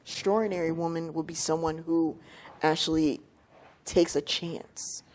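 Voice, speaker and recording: A woman giving a measured, explanatory answer as a panelist on a talk show. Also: sits low, steady tone, darkish tone, a little hiss or hum.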